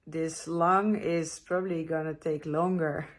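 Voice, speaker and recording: A woman's voice: low-pitched, fairly even intonation, unhurried. Breathless woman vlogger. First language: Dutch. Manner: tired, hesitant, frequent restarts. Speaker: travel vlogger. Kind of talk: casual talk to camera.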